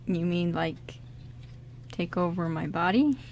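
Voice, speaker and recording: A woman's voice: on the low side, slow, darkish tone, slight background noise. A woman playing an insecure, earnest young man in an amateur radio drama. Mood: subdued, caring. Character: insecure, guilt-ridden young man, a loyal buddy.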